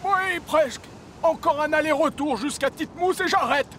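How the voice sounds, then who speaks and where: Une locomotive costaude et protectrice. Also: male voice, extremely high pitch, moderate pace, bright, forceful tone, a little hiss or hum. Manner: protective, matter-of-fact. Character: big sturdy male locomotive, kindly but firm. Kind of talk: French cartoon dub.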